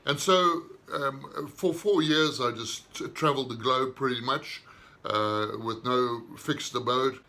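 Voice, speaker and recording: A man, a minister giving an unhurried personal testimony in short, reflective phrases. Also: mid-range voice, pushed and bright. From southern Africa.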